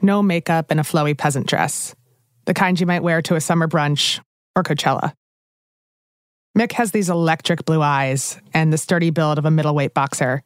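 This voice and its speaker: Low-voiced woman narrating a podcast. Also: normal speed, no room sound, clean studio sound, soft background music. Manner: calm storytelling narration. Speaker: podcast host. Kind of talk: scripted narration.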